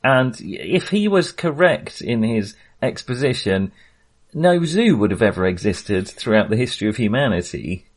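A man's voice: mid-range voice, very animated, normal speed. A man co-hosting a casual film discussion in a conversational, hedging manner. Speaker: film podcast co-host.

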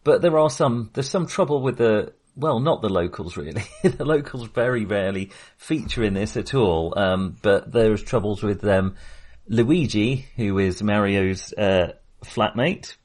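A man with a fairly low voice speaks as a podcast co-host in a relaxed film discussion. His phrasing is halting and hesitant, as he feels his way through each thought.

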